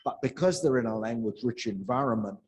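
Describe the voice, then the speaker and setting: A man of average pitch offers an unscripted comment in a scholarly discussion. He words things hesitantly and diplomatically. There is faint ambient noise in the room. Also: quick pace, a little mellow. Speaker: audience questioner, researcher. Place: indoor conference room.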